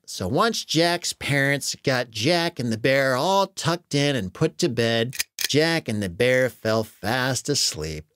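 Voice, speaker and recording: A man narrating a children's story in a dramatic, animated way with exaggerated emphasis. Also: mid-range voice, slow, few pauses, no room sound.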